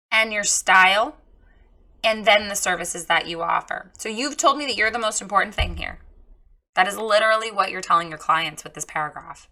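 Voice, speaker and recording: A woman with a hoarse, froggy voice from a recently lost voice, frankly reviewing a photographer's website in a chatty, encouraging way. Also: medium pitch, pushed and bright. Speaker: website reviewer giving feedback. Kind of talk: casual screen-share critique.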